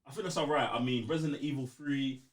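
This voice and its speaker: A man's voice: medium pitch, fast talker, recorded from a distance. A man chatting casually on a gaming podcast, using plenty of slang and repeating himself. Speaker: podcast co-host.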